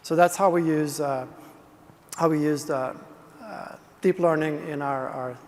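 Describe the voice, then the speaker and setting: A man from Sweden, a presenter giving a conference talk in a halting, explanatory way. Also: fairly high pitch, steady tone. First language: Swedish. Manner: explanatory, halting with many restarts. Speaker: conference presenter, speech engineer. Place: large conference hall. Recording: long reverb of a big hall.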